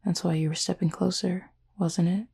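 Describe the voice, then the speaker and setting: Woman playing a low, husky, gentle cupid roommate. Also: fairly even intonation, exaggerated voice. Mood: gently reassuring. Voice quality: soft, breathy, slightly husky. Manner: measured, formal wording, gently teasing. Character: gentle, formal cupid roommate with a tail, quietly fond. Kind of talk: intimate roleplay audio acting, addressed to listener.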